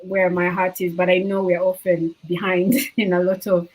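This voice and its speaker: A woman with a husky, fairly low voice moderates a panel. She asks questions in a loose, casual way. Her questions are full of hedges and fillers. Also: monotone, normal speed, darkish tone, low-quality recording.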